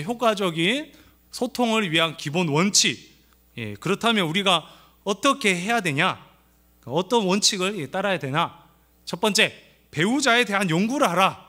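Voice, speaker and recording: A middle-aged man from the Gyeongsang region with a light Gyeongsang accent, preaching at a church family seminar in a lively, playful way, acting out quoted lines. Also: sits high. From Gyeongsang region of Korea. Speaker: pastor.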